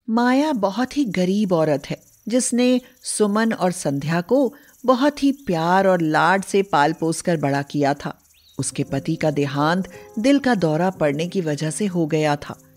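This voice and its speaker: A woman narrating a scripted children's moral story as a storyteller. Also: fairly low pitch.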